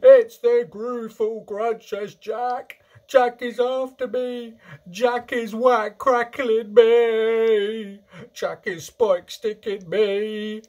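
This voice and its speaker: A man voicing a huge brutish storybook giant who is terrified, bellowing and begging in drawn-out cries. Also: very high-pitched, fairly even intonation, a little mellow, dull audio, pitched above the performer's own voice, exaggerated voice. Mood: panicked terror.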